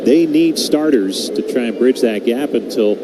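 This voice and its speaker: A man's voice: expressive, a little slow. Male baseball radio announcer over heavy noise. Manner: clipped pitch calls between conversational asides. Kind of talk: live radio sports play-by-play commentary. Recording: very noisy live broadcast, constant background hum.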